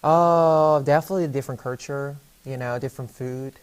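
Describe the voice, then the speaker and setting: A young man from South Korea, Korean his first language, speaks in a flat, even tone. He answers interview questions hesitantly, full of fillers and restarts. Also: mid-range voice, fairly quick, clear, resonant tone, poor audio. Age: young adult.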